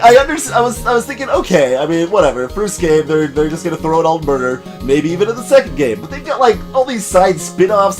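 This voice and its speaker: A man giving casual let's play commentary, rambling and repeating himself in exasperation. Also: high-pitched.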